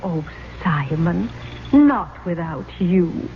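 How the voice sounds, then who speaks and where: An actress playing a devoted, long-suffering lady ghost in a radio drama, genteel and gently chiding. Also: fairly low pitch, lively intonation, drawn-out words, full character voice. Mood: tender. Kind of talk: 1970s radio drama acting. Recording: tinny, noisy old radio broadcast.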